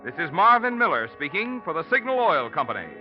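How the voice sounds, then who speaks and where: A man's voice: fairly high pitch, sing-song, brisk, soft background music. A man announcing in formal, emphatic 1940s radio style from a script. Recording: dull vintage radio recording.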